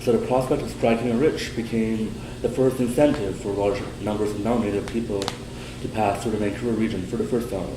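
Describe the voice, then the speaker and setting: Young male student, lowish voice, steady prepared delivery, roomy echo, air-conditioning hum behind. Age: young adult. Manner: steady, prepared delivery with some slow stresses. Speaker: student presenter. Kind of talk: prepared class presentation.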